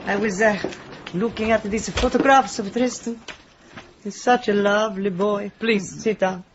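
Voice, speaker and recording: A woman's voice: medium pitch, fairly even intonation, a little slow, lo-fi sound, background noise, lightly acted. A woman playing a doting but secretive village woman, emphatic and motherly, in a radio drama. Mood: fond, wistful.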